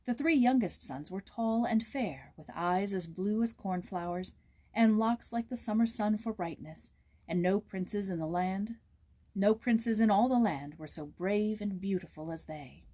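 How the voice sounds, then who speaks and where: A woman narrating a children's story aloud in a measured, expressive storytelling manner. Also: medium pitch. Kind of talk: storybook read-aloud with informal introduction.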